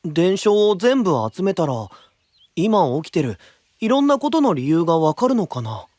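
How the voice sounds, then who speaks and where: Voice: medium pitch, sing-song, moderate pace, a little mellow, no echo, close to an everyday voice. An actor voicing a friendly, eager teenage boy knight with casual, openly curious speech in an anime-style audio drama. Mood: eager, determined.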